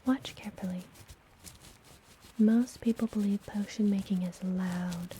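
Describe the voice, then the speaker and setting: A woman playing a friendly apothecary witch in a fantasy ASMR roleplay, speaking gently in a breathy, soothing whisper. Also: medium pitch, fairly even intonation, a little hiss or hum, lightly acted. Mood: calm, instructive. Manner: slow, gentle, soothing delivery. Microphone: close ASMR microphone.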